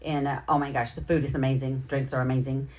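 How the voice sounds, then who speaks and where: A woman's voice: extremely low pitch, flat delivery, fast, darkish tone. A middle-aged woman hosting a casual livestream, chatting informally and slangily as she addresses her viewers directly. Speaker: livestream host. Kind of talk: casual livestream chat with shout-outs to viewers.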